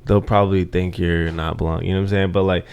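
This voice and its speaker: A man's voice: deep voice, flat delivery, quick pace, a little mellow, smooth. A man on a podcast talking in a laid-back, slangy way. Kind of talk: casual conversation.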